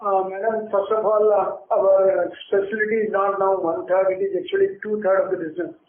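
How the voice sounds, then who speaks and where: A man's voice: high voice, flat delivery, fairly quick, phone-quality sound. An Indian-accented man, a company executive answering questions on an earnings call in a plain-spoken way, often repeating phrases. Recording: roomy, noisy phone conference line.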